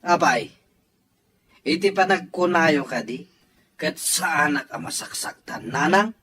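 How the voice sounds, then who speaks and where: A man's voice: a little high, lively intonation, unhurried, sharp tone, slight background noise. A man acting a troubled, defensive grown son and father in an audio drama, with a Filipino accent. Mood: defensive, agitated.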